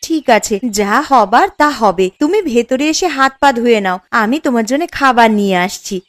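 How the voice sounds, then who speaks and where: A woman's voice: on the high side, animated, normal speed, lightly acted. A woman voicing a fretting village wife. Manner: anxious, fretting. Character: worried young village wife. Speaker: cartoon character.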